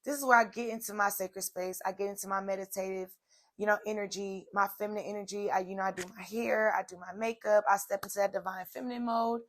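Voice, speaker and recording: A woman hosting a solo inspirational talk, her voice slightly hoarse, chatty and direct, addressing viewers as "y'all.". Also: medium pitch, steady tone, fast talker. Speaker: podcast host. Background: soft music playing.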